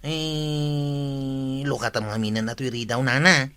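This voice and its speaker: Bright, chiding Filipino village sidekick. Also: male voice, medium pitch, little pitch movement, takes it slowly, smooth, no room sound. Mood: pleading, bargaining. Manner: questioning, exclamatory. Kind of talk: Ilocano radio comedy acting.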